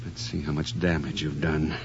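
An actor playing an obsessive, excitable radio tinkerer who mutters to himself and stammers when agitated, in a radio drama. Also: male voice, extremely low pitch, moderate pace. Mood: muttering, puzzled. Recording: dull audio with faint hum.

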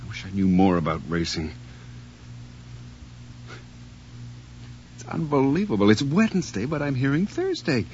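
An actor playing an obsessive, excitable radio tinkerer who mutters to himself and stammers when agitated, in a radio drama. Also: male voice, medium pitch, a little fast. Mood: puzzled. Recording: dull audio with faint hum.

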